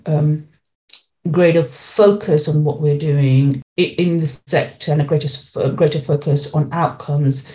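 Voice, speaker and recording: A woman's voice: sits low, warm tone. A woman speaking as an interview guest, earnest and emphatic, repeating key phrases to make her point. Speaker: interview guest, education policy lead. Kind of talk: spoken interview answers.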